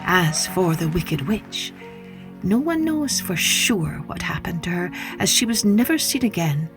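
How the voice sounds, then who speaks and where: A woman narrating a scripted children's story as a podcast host, with expressive, deliberate delivery. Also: medium pitch.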